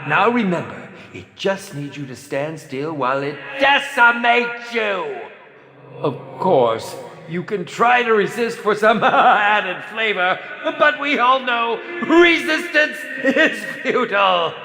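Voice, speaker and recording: An actor playing a flamboyant, petulant, sadistic film director villain in a video game, taunting theatrically in a drawn-out delivery. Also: male voice, high voice, animated, echoey room, big-room sound, full character voice. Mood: gleeful menace.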